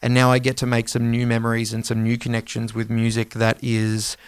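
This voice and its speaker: A man in his thirties with a smooth, clean voice at a medium pitch. He talks like a podcast co-host swapping stories, casual and animated, swearing freely. The sound is dry and free of room echo. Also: brisk. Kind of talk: casual conversational podcast talk.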